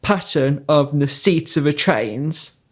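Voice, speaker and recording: Slow-talking higher-voiced man telling stories. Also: animated. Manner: rambling anecdotes with laughs. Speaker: podcast co-host. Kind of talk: casual storytelling.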